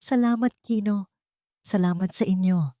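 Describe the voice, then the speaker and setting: A woman plays a frail old grandmother in an audio storybook. She speaks gently and with feeling, in a soft, trembling voice that lifts above the narrator's pitch, recorded close and dry. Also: normal speed, no room sound, natural voice. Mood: grateful, moved. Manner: gentle, emotional. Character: frail, tender old grandmother, grateful and wondering.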